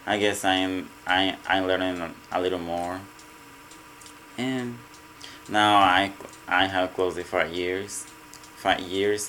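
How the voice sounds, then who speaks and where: A man with a Mexican Spanish accent, Spanish his first language, answering interview questions in halting English and often repeating words. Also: low-pitched, a bit bright, slight background noise, poor audio. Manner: halting, repeats words, non-native grammar. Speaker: restaurant cook. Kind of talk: casual interview.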